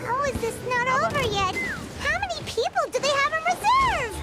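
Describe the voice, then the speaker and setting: A woman voicing a tiny, excitable, childlike companion creature in a video game, eager and exclamatory. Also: very high-pitched, normal speed. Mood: exasperated. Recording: loud game music over voice.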